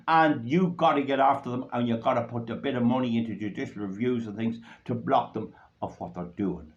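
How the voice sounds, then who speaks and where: Irish man, political talk, blunt, rambling, forceful, muffled lo-fi sound. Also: a little high. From Ireland. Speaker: political activist. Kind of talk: political speech to supporters. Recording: dull lo-fi recording.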